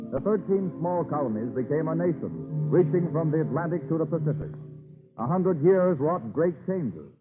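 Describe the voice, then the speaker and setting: A man narrating a 1930s radio programme as its announcer, formal and declamatory. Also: a little high. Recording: thin, narrow-band 1930s radio recording. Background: loud orchestral music.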